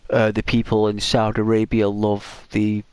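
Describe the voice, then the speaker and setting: A man's voice: fairly low pitch, completely flat delivery, normal speed, darkish tone, smooth, no room sound. A man with a British accent chatting casually while playing a video game, rambling with lots of "like" and restarts. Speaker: gaming channel host.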